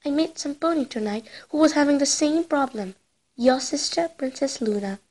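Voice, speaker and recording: A woman voicing a bookish young pony princess from a cartoon, earnest, excitable and dramatic in her delivery. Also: sits high, moderate pace. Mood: earnest, reflective. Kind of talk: cartoon character acting.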